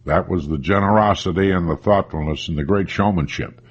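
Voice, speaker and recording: A husky-voiced man reminiscing reflectively as an interviewee, with deliberate, emphatic phrasing. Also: sits low. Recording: dull archival interview tape.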